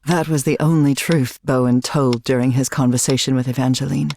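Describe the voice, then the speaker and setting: An actress playing a wry, knowing woman from beyond the grave who narrates a video game story in a measured way. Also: extremely low pitch, steady tone, warm tone, a little hiss or hum. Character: dead wife of a professor, wry knowing female narrator.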